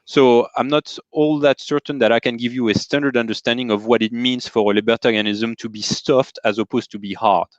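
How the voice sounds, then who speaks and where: A man's voice: mid-range voice, fairly even intonation, a little fast, hardly any pauses, dry recording. French-accented man, methodical explainer, dry close sound, slightly dull lo-fi call audio. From France. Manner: precise, methodical, defines terms step by step. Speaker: guest philosopher. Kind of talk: careful explanatory interview talk.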